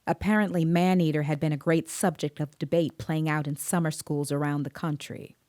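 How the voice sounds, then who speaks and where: An actress playing a composed, observant female interviewer, narrating an audio drama in a measured, precise way. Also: deep voice, steady tone, a little mellow, no echo, studio quality, close to an everyday voice. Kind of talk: scripted first-person dramatic narration.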